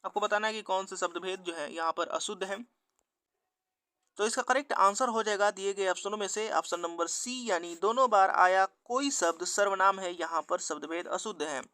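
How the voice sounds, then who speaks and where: A man with a high, bright voice narrates a mock test as a teacher. His sound is crisp and dry, and he moves methodically from each question to its answer in a set pattern. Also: moderate pace, no echo. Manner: methodical, formulaic question-then-answer delivery. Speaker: exam-prep teacher reading quiz questions and answers.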